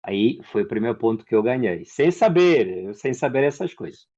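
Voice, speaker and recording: A man from Angola with an Angolan Portuguese accent, an actor and playwright answering interview questions in a lively storytelling way. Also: mid-range voice, normal speed, lo-fi sound. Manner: animated storyteller, acts out quoted dialogue.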